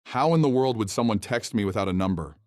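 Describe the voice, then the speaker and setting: Guy playing deep voiced husband in a horror radio play, kinda obsessed and needy. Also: animated, quick pace, a little mellow, no echo, studio quality, natural voice. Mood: wry, puzzled. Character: husband growing obsessed with messages from God, desperate. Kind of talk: old-time radio style horror audio drama acting.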